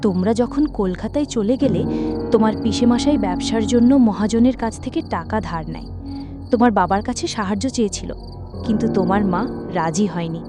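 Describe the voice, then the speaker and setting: A woman voicing a caring but scolding middle-aged ghost aunt in an animated horror story. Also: medium pitch, normal speed, loud music behind the voice, lightly acted. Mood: sorrowful, explaining.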